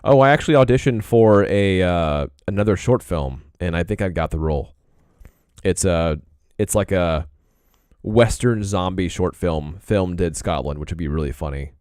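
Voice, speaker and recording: Deep-voiced man chatting on stream. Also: moderate pace, darkish tone, no echo, studio quality. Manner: laid-back, rambling, frequent throat clearing. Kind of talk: casual live-stream chat while drawing.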